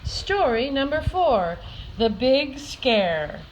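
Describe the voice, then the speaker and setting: An older woman reading a children's picture book aloud with deliberate, dramatic emphasis for young listeners. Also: sits high, sing-song, a little hiss or hum, poor audio. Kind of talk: expressive children's story read-aloud.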